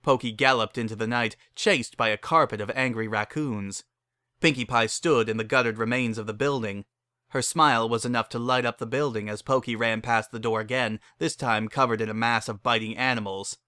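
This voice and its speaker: Male narrator, bright forceful voice, middle pitch, dry close sound, dramatic scripted storytelling. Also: fairly even intonation, brisk, smooth, dry recording.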